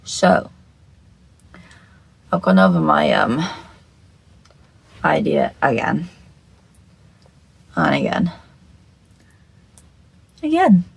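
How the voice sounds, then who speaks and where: A makeup video creator with a croaky, just-woken voice, chatting casually to camera in a scatterbrained, rambling, sweary way and repeating phrases. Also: medium pitch, huge pitch swings, extremely slow, long pauses, no room sound, low-quality recording.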